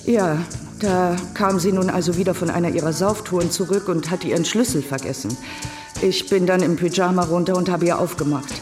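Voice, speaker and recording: Low-voiced, reserved woman painter played by an actress. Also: a little fast, close to an everyday voice. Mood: matter-of-fact. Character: reserved, independent-minded female painter giving testimony. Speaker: actor. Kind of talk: German radio crime drama acting. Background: music.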